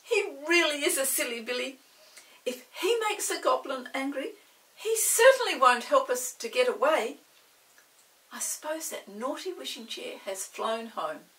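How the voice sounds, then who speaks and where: A woman's voice: high voice, lively intonation, a little slow, a bit bright, recorded from a distance, voice pushed up, rough recording. A woman voicing an earnest, exasperated young girl in a children's storybook, with an emphatic, chiding way of talking.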